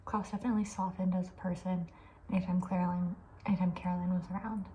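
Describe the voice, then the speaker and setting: A woman speaking in a soft, breathy ASMR style, often slipping into a whisper, chatting casually in a gentle, hesitant way. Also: lowish voice, level tone, fast talker, muffled recording, a little hiss or hum. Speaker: ASMR video creator, host.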